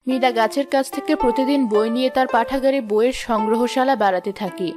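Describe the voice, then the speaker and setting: A woman's voice: on the high side, fast talker, over music. Woman narrating a children's story.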